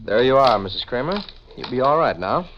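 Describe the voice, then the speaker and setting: An actor playing a calm, probing town doctor, speaking firmly and with measure in a 1940s radio mystery. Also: male voice, mid-range voice, lightly acted. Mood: calm, suspicious. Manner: measured, firm. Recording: muffled, noisy 1940s radio recording.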